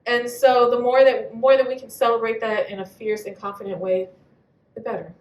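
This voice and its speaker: A woman's voice: a little high, flat delivery, normal speed. A woman on a live panel telling personal stories, candid and blunt, swearing freely. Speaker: panelist poet. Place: venue hall at a live reading. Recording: echoey hall, mic at a distance.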